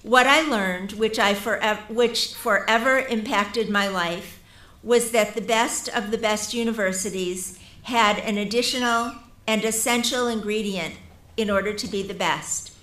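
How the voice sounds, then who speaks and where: A woman's voice: mid-range voice, measured pace, fairly bright tone. A woman giving a formal, prepared acceptance speech as a professor at a ceremony. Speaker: professor accepting an award on another's behalf. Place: large ceremony hall. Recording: echoey hall, faint noise.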